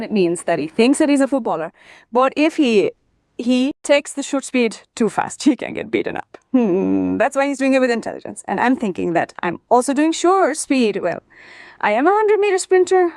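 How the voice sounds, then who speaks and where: Female interview guest, high voice, dry close sound. Emphatic personal storyteller who repeats phrases for weight. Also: darkish tone, clear, resonant tone, no room sound. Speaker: interview guest, former sprinter.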